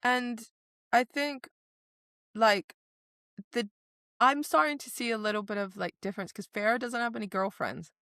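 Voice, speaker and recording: A woman's voice: on the high side, normal speed, long pauses, a bit bright, no room sound, studio quality. A woman co-hosting a podcast, recapping and explaining a book in a casual, conversational way. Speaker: podcast co-host.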